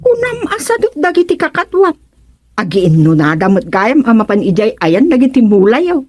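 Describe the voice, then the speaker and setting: An actress playing a lively, chatty village woman in a radio comedy drama. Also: fairly high pitch, moderate pace.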